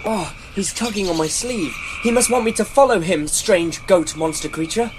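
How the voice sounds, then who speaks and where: A man's voice: high voice, moderate pace, noisy background, close to an everyday voice. A man playing a fretful, cowardly young adventurer who exclaims to himself in an amateur comic radio play. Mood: anxious wonder. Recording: music bed behind the voice.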